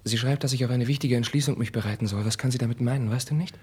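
An actor playing a sensitive, impassioned young prince in a husky, breathy voice, with theatrical 1950s radio drama delivery. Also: male voice, mid-range voice, fairly even intonation, very fast. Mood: fervent, anxious. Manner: theatrical, swinging between hushed and fervent. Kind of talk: classical German radio drama acting, 1950s.

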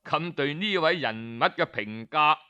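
A man with a Cantonese accent narrating a historical tale in traditional oral storytelling style, expressive and colloquial, addressing his listeners with small interjections. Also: on the high side, a little slow, smooth, highs cut off.

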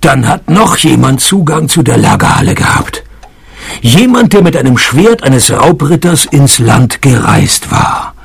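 An actor playing a wry, deadpan male private detective in a noir parody radio play. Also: mid-range voice, unhurried, vocal fry, breathy edge, dry recording, exaggerated voice. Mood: probing. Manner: dry, sardonic, deadpan. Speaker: lead actor and narrator. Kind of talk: noir parody radio play, first-person narration and dialogue.

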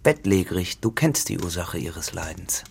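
An actor playing a lonely, accusing farmer in a literary radio drama, with a husky voice. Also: male voice, low-pitched, big pitch swings, normal speed, a little hiss or hum, exaggerated voice. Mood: accusing, grave. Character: lonely, accusing, menacing male farmer, a spurned rival.

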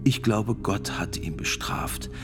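An actor playing a grave priest and notary of sixty-six, testifying in a radio drama with gravelly, deliberate, formal speech. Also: male voice, on the low side, music in the background. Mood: grave, bitter. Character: sixty-six-year-old male priest and court notary, grave witness.

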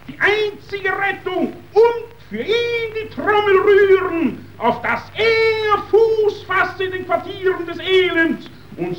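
An actor playing a ruthless, grandiose meat tycoon in a declamatory, emphatic radio drama style. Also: male voice, very high voice, fairly bright tone, full character voice. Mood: fervent, exalted. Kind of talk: 1930s epic theatre radio drama acting. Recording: dull, noisy old radio recording.

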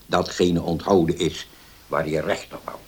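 A man's voice: low-pitched, steady tone, unhurried, slightly rough. An actor playing a weary, regretful father in a Dutch radio play.

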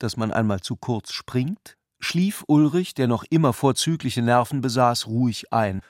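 A man reading literary narration for a radio drama in a measured, articulate way. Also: medium pitch, no room sound, clean studio sound.

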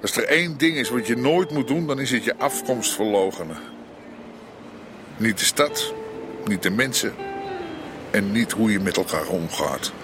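An actor playing a brooding, philosophical drifter, speaking deliberately in a radio drama. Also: male voice, lowish voice, music in the background, lightly acted. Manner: pensive, deliberate.

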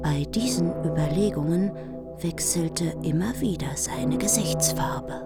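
A woman's voice: fairly low pitch, measured pace, loud music behind the voice. A woman narrating a medieval epic in a scripted, literary radio-play style.

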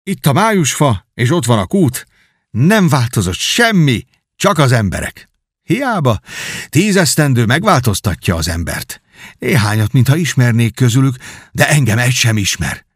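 A man reading aloud and voicing an excited young knight who returns home and talks without pause. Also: medium pitch, slightly rough, no echo, studio quality, pitched above the performer's own voice. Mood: eager. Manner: eager, exclamatory, talkative. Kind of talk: audiobook reading.

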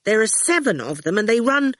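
A serious storybook boy, read by a woman, somewhat high in pitch. He sounds earnest and determined in a dry, close recording. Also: big pitch swings, brisk, fairly bright tone, no room sound. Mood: cautioning.

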